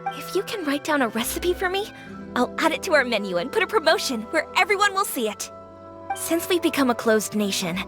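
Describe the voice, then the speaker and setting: A woman voicing an upbeat, eager young restaurant owner who chats enthusiastically in a game cutscene. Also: high voice. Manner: enthusiastic and chatty. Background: game music.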